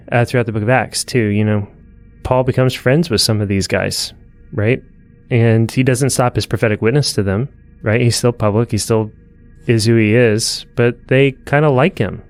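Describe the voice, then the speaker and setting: Smooth-voiced man speaking earnestly. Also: medium pitch. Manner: earnest, preacherly, repeats phrases for emphasis. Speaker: podcast co-host. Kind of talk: earnest discussion.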